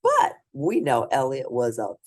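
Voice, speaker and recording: A woman with a low-pitched voice reads a children's story aloud. Her delivery is slow and very animated, swinging up and down in pitch, with theatrical stress on key words for young listeners. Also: dry recording, clean studio sound. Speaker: storybook reader. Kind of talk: expressive read-aloud of a children's picture book.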